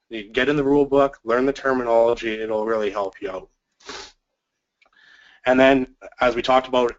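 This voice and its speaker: A man with a cold and a fading voice, giving a presentation on communication to basketball officials, clearing his throat often. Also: mid-range voice, dull audio. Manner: frequent throat clearing, fillers. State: has a cold, losing his voice.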